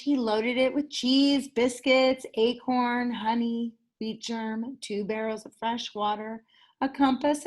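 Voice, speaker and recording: A woman reading a children's picture book aloud as a teacher, with enthusiastic, animated delivery and dramatic emphasis. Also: fairly high pitch. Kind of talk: expressive children's story reading.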